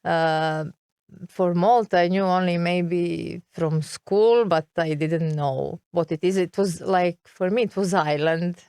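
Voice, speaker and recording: A woman's voice: fairly low pitch, fairly even intonation, slow pace, a little mellow, smooth, no echo, studio quality. A middle-aged woman from Serbia with a Serbian accent, a guest in an interview, talking hesitantly and searching for words. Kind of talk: interview conversation.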